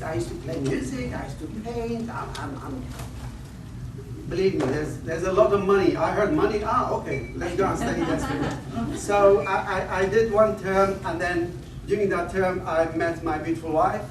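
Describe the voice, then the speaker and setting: A man in his fifties from northern Iraq, giving a conference talk with rambling personal stories, self-deprecating jokes and frequent restarts. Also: high voice. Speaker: invited conference speaker. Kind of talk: lecture opening with personal anecdotes. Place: lecture hall. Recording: echoey hall, distant mic, background noise.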